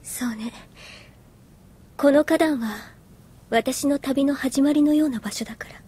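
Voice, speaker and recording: An actress playing an earnest, reserved young woman soldier in an anime audio drama, composed and soft-spoken. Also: sits high, unhurried. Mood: quiet, wistful. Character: earnest, reserved young female soldier recalling her past. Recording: music behind the voice.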